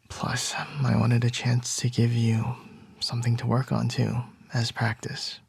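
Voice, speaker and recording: A man acting as a composed male CEO boss in an ASMR boyfriend roleplay, murmuring softly with a breathy, whispery voice and a gently wry manner. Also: fairly low pitch, no room sound, exaggerated voice. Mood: wry, fond.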